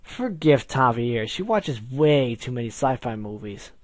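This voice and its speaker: A man's voice: medium pitch, sing-song, measured pace, darkish tone, no room sound, studio quality. Breezy, cheeky storybook party mare, boisterous and slangy , performed by a male reader. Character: brash, goofy, teasing female DJ pony.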